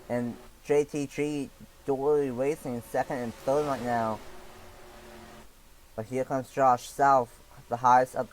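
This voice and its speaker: A young man commentates a sim race lap by lap, his voice a little raspy from allergies. He talks in halting bursts full of fillers and restarts, over a faint hum of ambience. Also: mid-range voice, poor audio. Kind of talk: play-by-play sim racing commentary.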